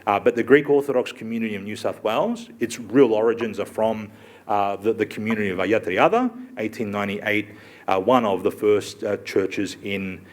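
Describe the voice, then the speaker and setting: A middle-aged Australian man giving a public lecture, halting with many fillers and restarts. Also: lowish voice. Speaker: guest speaker.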